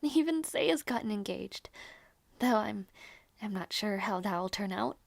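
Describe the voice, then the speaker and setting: A woman playing a gentle, devoted young princess in a romantic audio roleplay, speaking in a soft, halting way that often drops to whispers. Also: medium pitch, animated, creaky, no room sound. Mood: wistful, pensive. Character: gentle, devoted young princess longing for her lost knight.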